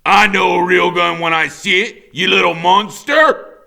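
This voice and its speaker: A man's voice: high voice, a little slow, gravelly, bright, forceful tone, pitched above the performer's own voice, exaggerated voice. A man voicing a menacing, violent father in an audiobook, with a threatening, scornful, domineering manner. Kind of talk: dramatic audiobook character reading.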